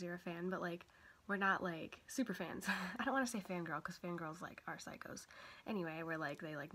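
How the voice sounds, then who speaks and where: A woman's voice: on the low side, breathy edge. A young woman vlogger, a little sick and stuffy, chatting casually and rambling with lots of fillers. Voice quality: quiet voice. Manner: rambling, many fillers and self-corrections. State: stuffy nose from a cold. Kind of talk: casual chat.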